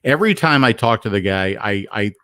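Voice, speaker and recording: A man talking frankly as a podcast guest, halting and repeating words as he thinks. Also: on the low side, expressive, a bit bright, no echo, clean studio sound. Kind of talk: casual chat.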